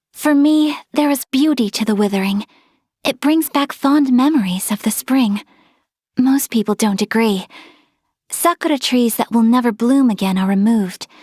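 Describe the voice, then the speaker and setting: A young woman playing a refined, gracious noblewoman in a video game, speaking in formal, politely measured diction. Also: fairly high pitch, a little mellow, dry recording, studio quality, natural voice. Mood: wistful, serene. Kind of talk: video game character voice lines.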